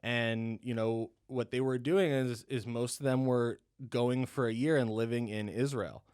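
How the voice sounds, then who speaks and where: Young man from Ohio, mid-pitched and smooth, loose conversational guest who fills pauses with "you know". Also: fairly even intonation, a little mellow. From Ohio, USA. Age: 20s. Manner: rambling, heavy on "you know" and "um", laughs often. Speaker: podcast guest, dental student. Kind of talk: casual interview chat.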